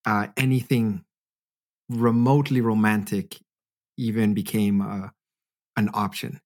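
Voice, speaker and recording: A man's voice: lowish voice, fairly even intonation, a little slow, a little mellow. A man hosting a podcast, eagerly asking his guest questions in a casual interview. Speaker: podcast host.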